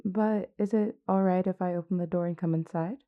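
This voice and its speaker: A woman playing a gentle, courteous vampire queen in an intimate audio roleplay, speaking politely and reassuringly. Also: lowish voice, steady tone, a little fast, narrow, tinny audio, close to an everyday voice. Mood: courteous, careful. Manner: polite, soft-spoken reassurance with hesitant asides.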